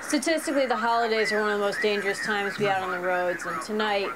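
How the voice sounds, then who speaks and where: Actress as stern policewoman, no-nonsense, fast, fairly high voice, comedy sketch, noisy background. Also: fairly even intonation, close to an everyday voice. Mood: solemn. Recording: noisy film clip with outdoor ambience.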